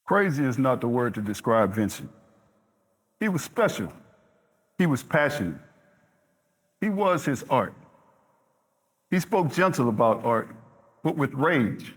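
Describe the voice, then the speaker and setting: A man's voice: medium pitch, very animated, a little slow, long pauses, breathy edge. An actor playing an earnest man who speaks emphatically and with passion in a theatrical stage monologue. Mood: impassioned, reflective. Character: impassioned man eulogizing a lonely, misunderstood artist.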